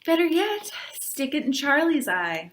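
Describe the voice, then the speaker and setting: Lady reading as a snarky blunt schoolgirl in a kids book, dry, highish voice. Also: big pitch swings, a little slow. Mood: sardonic. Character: sardonic, blunt schoolgirl best friend.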